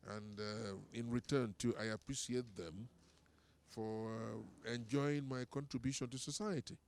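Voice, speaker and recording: A man with a Nigerian accent, a performer giving composed, measured and formal interview answers. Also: medium pitch, fairly even intonation, darkish tone.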